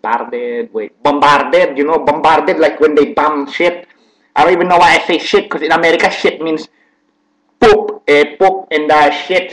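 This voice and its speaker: A man's voice: on the high side, fairly bright tone, close to an everyday voice, rough recording. A man doing a thick Filipino accent. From Philippines. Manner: rambling, repeats words, wordplay on mishearings. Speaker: comedic vlogger. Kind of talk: rambling comedic monologue.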